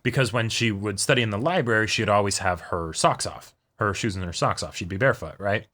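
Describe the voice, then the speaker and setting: A man with a bright, forceful timbre set at a fairly low pitch, musing in casual conversation. He often restarts his sentences as he works his thoughts out. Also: a little fast. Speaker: co-host reacting to an anime. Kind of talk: casual discussion.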